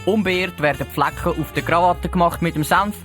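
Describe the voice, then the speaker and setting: A man narrates an audio play in Swiss German. His voice is a touch high, reading scripted storytelling over soft music. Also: a little fast.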